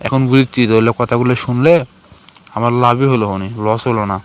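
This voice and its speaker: A man's voice: medium pitch, steady tone, fairly quick, clear, resonant tone, lightly acted. A man with a northern Bangladeshi accent playing a desperate, pleading village TikToker in a cartoon skit. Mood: pleading, regretful. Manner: rambling, complaining, pleading. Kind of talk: colloquial dialogue in a cartoon skit.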